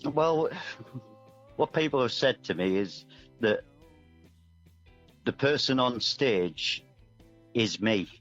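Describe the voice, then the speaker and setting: A man's voice: mid-range voice, animated, takes it slowly, pauses often, a bit bright. A man talking as a musician guest in a casual interview, earnest, reflective and a little hesitant. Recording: dull band-limited line, music underneath.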